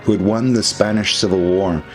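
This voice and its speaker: Deep-voiced man narrating history over music. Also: steady tone, normal speed, rough recording. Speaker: documentary narrator.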